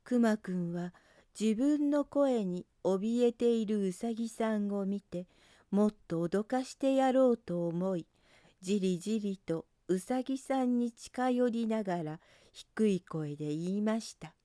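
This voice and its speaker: A woman narrating a scripted picture book for children in a gentle, careful storytelling manner. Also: medium pitch, a little slow, no echo, studio quality.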